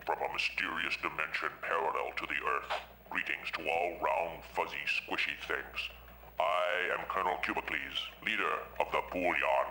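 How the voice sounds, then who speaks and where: A pompous cube alien dictator. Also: male voice, extremely low pitch, steady tone, moderate pace, pushed and bright, heavily acted. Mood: menacing. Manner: bellowing, grandiose, commanding. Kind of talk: game cutscene voice acting. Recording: roomy, distant, noisy game audio.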